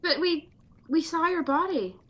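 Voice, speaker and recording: An actress playing a detective's playful, quick-thinking wife, arch and genteel, in an old-time radio drama style. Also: female voice, very high-pitched, lively intonation, a bit bright, exaggerated voice. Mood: shocked. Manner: arch, genteel, matter-of-fact. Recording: dull, lo-fi video call recording.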